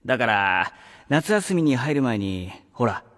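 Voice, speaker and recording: An actor playing a condescending middle-aged male vice-principal in a radio drama, halting and officious, in a remembered-voice effect. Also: medium pitch, very animated, a bit bright. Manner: halting, officious, with drawled hesitations. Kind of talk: Japanese radio drama acting. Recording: long reverb, music underneath.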